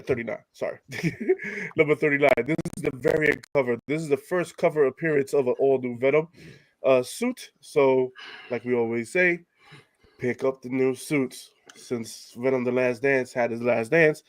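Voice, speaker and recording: Stuffed-up man hosting a podcast. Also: mid-range voice. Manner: laughing, casual, profane. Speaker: podcast host. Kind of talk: casual podcast chat.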